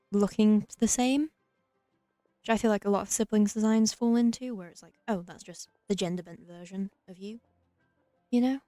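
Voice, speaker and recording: A woman gives casual gameplay commentary in a mellow, dark-toned voice at medium pitch. She is dry and wry, closely recorded with very little room sound and faint game music behind. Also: dry recording. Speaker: let's play streamer.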